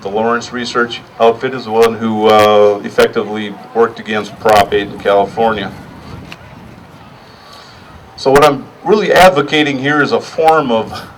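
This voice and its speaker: A man's voice: medium pitch, fairly even intonation, normal speed. Middle-aged man speaking at a rally, noisy distant recording. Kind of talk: public rally speech, partly improvised.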